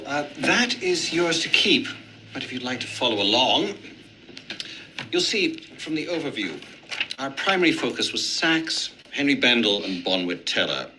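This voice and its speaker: Researcher giving a pitch. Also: male voice, sharp tone, a little hiss or hum, heavily acted. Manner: presents formally, like a pitch. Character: formal, earnest male market researcher.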